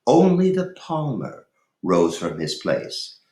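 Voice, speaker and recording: A man's voice: mid-range voice, wildly swooping pitch, slow pace, mellow. A man reading a story aloud in a dramatic narrating style. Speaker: reader of a storybook. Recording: roomy, echoey room.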